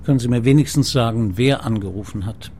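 Dry, gravelly police commissioner played by a man. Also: mid-range voice, brisk, mellow, a little hiss or hum, natural voice. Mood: insistent. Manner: probing, politely ironic. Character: male police commissioner, persistent, dryly sardonic. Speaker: actor in a radio crime drama. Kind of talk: naturalistic German radio drama acting.

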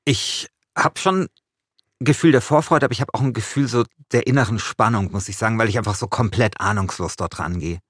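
A man's voice: lowish voice, a little fast, husky, no echo, studio quality. A man hosting a podcast, moving between scripted narration and casual chat. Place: small radio studio. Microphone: studio microphone.

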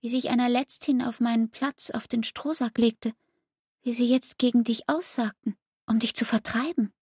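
An actress playing a weary, reproachful young woman who pleads intensely in a husky voice, in a literary radio drama. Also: a little high, steady tone, normal speed, a little mellow. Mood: agitated, accusing.

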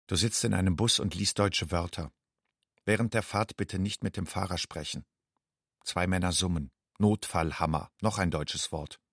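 An actor playing a stark narrator in a literary audio drama, telling a refugee's fear in terse, matter-of-fact short sentences. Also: male voice, low-pitched, moderate pace, slightly rough, no room sound, clean studio sound. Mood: stark, uneasy.

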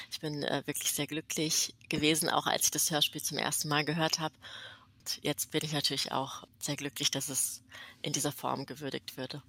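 A woman's voice: deep voice, a bit bright, dry recording. A woman writer giving a polite, sincere thank-you speech as a prizewinner.

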